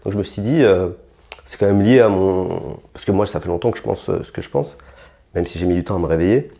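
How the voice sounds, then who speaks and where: Blunt french guy in an interview, lowish dark voice, keeps restarting his sentences. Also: fairly quick, creaky. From France. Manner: blunt, provocative, many restarts and fillers; rises in pitch and force when heated. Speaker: interviewed rapper. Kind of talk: casual interview answers.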